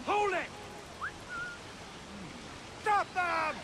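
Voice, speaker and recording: An actor playing a brusque, menacing soldier in a video game, shouting commands. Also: male voice, very high-pitched, very slow, creaky, exaggerated voice. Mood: aggressive. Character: brusque, menacing male soldier barking orders. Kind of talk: video game combat acting. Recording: very noisy game battle ambience.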